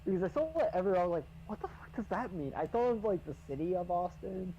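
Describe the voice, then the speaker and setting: A man's voice: sits high, expressive, racing, warm tone, highs cut off, slight background noise. An eighteen-year-old man chatting casually with fellow gamers, joking and swearing freely. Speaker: racer in a community race.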